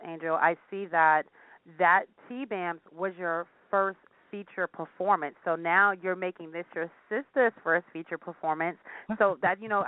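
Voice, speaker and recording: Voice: mid-range voice, dry recording. Effusive radio host on a phone line. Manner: effusive, praising. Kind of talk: radio interview. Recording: tinny phone line, dry.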